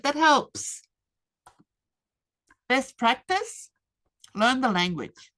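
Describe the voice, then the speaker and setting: A woman, giving a rehearsed webinar presentation in a measured, deliberate way with emphatic pauses. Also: mid-range voice, a bit bright, low-quality recording. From Australia. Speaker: speaker. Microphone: webinar computer mic.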